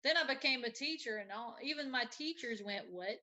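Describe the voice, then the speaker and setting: Female podcast host, bright and forward tone, conversational delivery. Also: a little high, animated, fairly quick. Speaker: teacher. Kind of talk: casual conversation.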